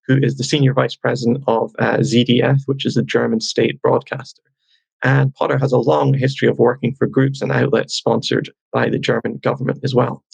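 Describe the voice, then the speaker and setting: A man's voice: mid-range voice, creaky, breathy edge, no echo, rough recording. A man speaking as a journalist guest in an interview, in a wry, incredulous tone. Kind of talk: interview discussion.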